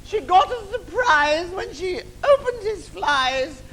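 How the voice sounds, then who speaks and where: A man playing a bawdy, tipsy older pantomime dame with a saucy, drawn-out theatrical delivery on stage. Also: very high-pitched, big pitch swings, bright, forceful tone, heavily acted, rough recording. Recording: live theatre stage, faint noise.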